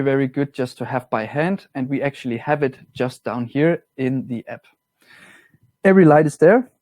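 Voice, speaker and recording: Man with a Danish accent, mellow and dry. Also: mid-range voice, dry recording. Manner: relaxed, plain-spoken, slightly non-native grammar. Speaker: product trainer. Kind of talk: webinar software walkthrough.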